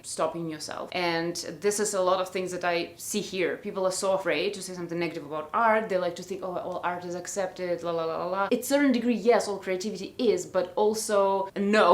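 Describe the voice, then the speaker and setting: A young woman with a Russian accent, talking frankly and emphatically to camera in her art vlog. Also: on the low side. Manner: frank, emphatic, repeats points for stress. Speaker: art vlogger. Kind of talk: casual talking-head monologue. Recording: echoey room.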